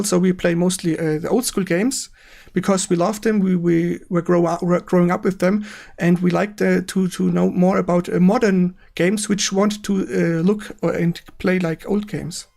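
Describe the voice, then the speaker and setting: High-pitched, creaky German man interviewing. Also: steady tone, a little mellow. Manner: halting, searching for words in non-native English. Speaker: stream host interviewer. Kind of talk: casual live-stream interview.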